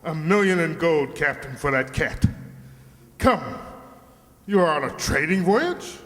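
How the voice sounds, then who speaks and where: A man's voice: a little high, expressive, a little slow, a bit bright. A man playing an eager, grandiose foreign king in a children's radio play, theatrical and imperious. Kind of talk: old-time children's radio play acting. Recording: reverberant hall.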